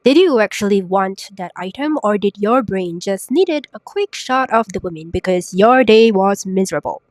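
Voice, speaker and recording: A young woman hosting a solo podcast while sick, her voice raspy and congested, chatty and self-mocking with lots of rhetorical questions. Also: mid-range voice, animated, normal speed, a little mellow, no echo, clean studio sound. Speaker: podcast host. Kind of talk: casual solo podcast talk. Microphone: new podcast microphone.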